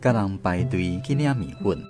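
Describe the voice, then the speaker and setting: A man's voice: sits low, lively intonation, moderate pace. A man playing a son who looks back on his poor childhood, narrating a radio drama with a Taiwanese accent. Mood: nostalgic. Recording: music under the voice.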